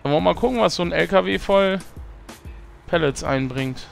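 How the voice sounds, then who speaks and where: A hoarse man hosting a let's play, chatting casually and self-mockingly, thinking aloud with fillers as he plays. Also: sits high, brisk, soft background music. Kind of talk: casual gameplay commentary.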